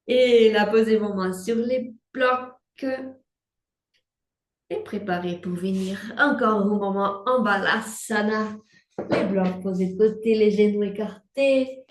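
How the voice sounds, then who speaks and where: Young female yoga instructor, unhurried soothing cueing; distant mic in a roomy space. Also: mid-range voice. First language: Portuguese. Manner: slow, calm cueing with breath prompts. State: pregnant. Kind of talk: guided prenatal yoga class. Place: room at home, instructing from a mat. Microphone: laptop mic at a distance.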